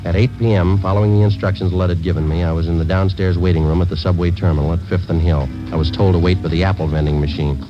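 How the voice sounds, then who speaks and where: A dull vintage radio recording of an American actor as a deadpan undercover police sergeant. His voice sits very low and he rattles out facts quickly, weary and businesslike, in clipped radio-drama narration. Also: close to an everyday voice. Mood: flat, matter-of-fact. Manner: deadpan, matter-of-fact. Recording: dull old radio recording, music behind.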